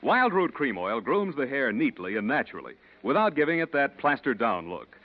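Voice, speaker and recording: A man's voice: medium pitch, sing-song, normal speed. A man with an American accent announcing in polished, emphatic, scripted 1940s radio style. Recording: muffled 1940s radio broadcast.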